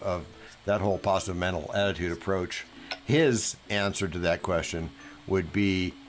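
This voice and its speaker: A man giving conversational financial advice in an emphatic, deliberate manner, repeating words for emphasis. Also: deep voice. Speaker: financial coach and podcast guest speaker. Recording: music playing behind the voice.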